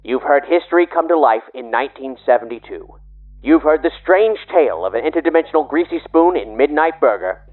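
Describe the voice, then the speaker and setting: A man's voice: fairly high pitch, animated, fairly quick, phone-quality sound. A man playing a courteous, easily flustered old-time radio announcer, folksy and formal, through a vintage radio filter. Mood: folksy, promotional. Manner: folksy, formal, hesitant when interrupted. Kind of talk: 1930s radio announcing acting. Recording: thin band-limited old-time radio sound.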